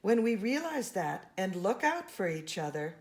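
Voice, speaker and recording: Woman reading a children's book, lively intonation. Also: mid-range voice, normal speed. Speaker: storybook reader. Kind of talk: expressive read-aloud of a children's picture book.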